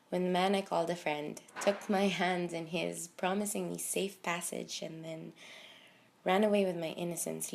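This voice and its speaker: A woman in her early twenties performing dramatic spoken word poetry, with a deliberate, emotive delivery full of pauses and emphasis. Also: lowish voice, creaky, a little mellow, a little hiss or hum. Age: early 20s. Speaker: slam poet.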